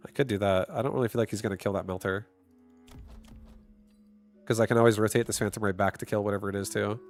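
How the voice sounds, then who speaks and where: A man's voice: fairly low pitch, fast, faint music behind. Stuffy-nosed man streaming a strategy game. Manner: casual, thinks out loud, bursts into excited shouts. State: stuffy nose. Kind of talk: live gameplay commentary.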